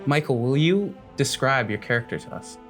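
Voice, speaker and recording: A man's voice: medium pitch, expressive, moderate pace, fairly bright tone, faint music behind. A man working as game master, narrating a tabletop fantasy game in vivid, descriptive second-person storytelling.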